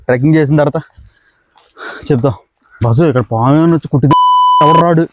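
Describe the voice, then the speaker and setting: A young man, chatting casually and excitably to camera as a travel vlogger, in slangy, repetitive phrases. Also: fairly high pitch, fast talker, dry recording. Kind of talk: casual vlog chat to camera. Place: outdoors on forest trail and by waterfalls. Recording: outdoor handheld recording with background noise.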